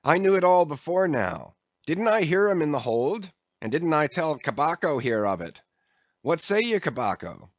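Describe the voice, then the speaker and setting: Man doing eager young sailor, raised pitch, chatty and knowing, dry. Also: very animated, moderate pace, dry recording.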